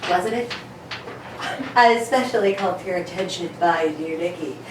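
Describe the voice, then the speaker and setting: A woman's voice: medium pitch, close to an everyday voice. A woman playing a clever, self-assured male detective in an amateur reading of an old radio mystery. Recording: very echoey room, distant mic, faint noise.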